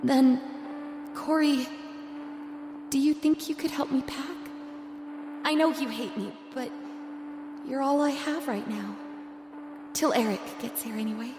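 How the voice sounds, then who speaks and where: A woman playing an anxious young twin sister in an audio drama, halting and pleading as she speaks. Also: high voice. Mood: nervous, pleading. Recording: echoey reverberant hall, loud music underneath.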